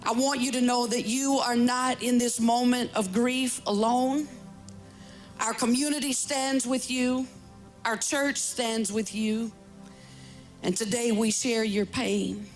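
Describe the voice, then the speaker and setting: A woman pastor delivers a funeral address in measured, repetitive oratory. Her pitch is slightly high and her pace very slow, over faint music. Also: steady tone.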